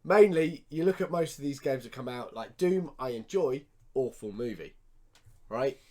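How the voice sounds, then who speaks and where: A man's voice: medium pitch, lively intonation, moderate pace, a little mellow. A man co-hosting a podcast, giving blunt, emphatic opinions in casual, sweary chat. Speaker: podcast co-host.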